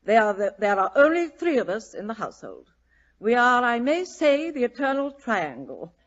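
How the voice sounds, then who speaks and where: A grand, vain matron of middle age for a radio play. Her highish voice swoops with theatrical emphasis and imperious airs. The sound is dull, like an old broadcast. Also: big pitch swings, normal speed. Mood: haughty. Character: imperious, theatrical, vain, portly middle-aged society lady. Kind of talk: old-time radio drama acting.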